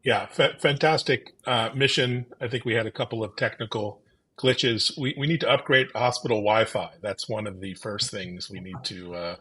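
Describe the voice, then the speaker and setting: A man's voice: on the low side, normal speed, pushed and bright, husky. A man hosting a video call interview, asking upbeat questions of his guests. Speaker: interview host.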